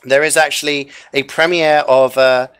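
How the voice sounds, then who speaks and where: A man casting an online game match live, chatty and upbeat with frequent fillers, a bit hoarse as he loses his voice. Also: on the high side, flat delivery, moderate pace, a bit bright. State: losing his voice. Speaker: esports caster. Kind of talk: live esports commentary and sign-off.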